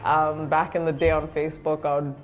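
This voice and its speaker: A young woman from Zambia, a guest chatting casually in an interview, relaxed and laughing often, with many fillers. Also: sits low, brisk, faint music behind, low-quality recording. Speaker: guest, content creator.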